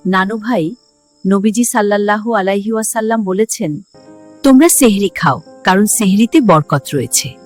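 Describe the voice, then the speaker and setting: A woman's voice: medium pitch, normal speed, soft background music, lightly acted. A woman playing a loving, devout grandmother who tenderly gives religious advice in a children's cartoon. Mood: tender, instructive. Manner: tender, instructive. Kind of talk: children's Islamic cartoon dialogue.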